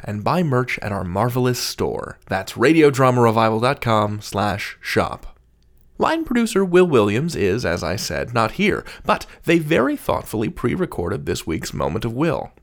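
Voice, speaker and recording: A man hosting a podcast, reading scripted announcements and credits. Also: mid-range voice. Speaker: podcast host.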